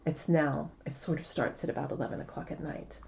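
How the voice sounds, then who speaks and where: Female author in interview; low-ish, very soft-edged mellow voice, articulate step-by-step explainer. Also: steady tone, a little fast, gravelly, slight background noise.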